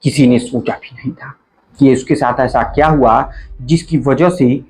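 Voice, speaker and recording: A man's voice: medium pitch, fairly even intonation, unhurried, darkish tone, faint music behind. A man narrating a true crime story in an earnest, emphatic storytelling manner, speaking to listeners as friends.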